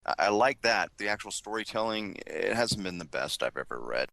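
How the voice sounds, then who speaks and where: A man with a very deep voice and a clearly bright, forward tone. He chats casually as one of a podcast panel, and his voice sounds dry and close. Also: sing-song, fairly quick, no echo. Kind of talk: casual chat.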